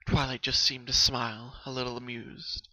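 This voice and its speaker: Bright-voiced man narrating a story. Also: medium pitch, level tone, moderate pace, no echo, rough recording. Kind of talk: dramatic scripted story narration.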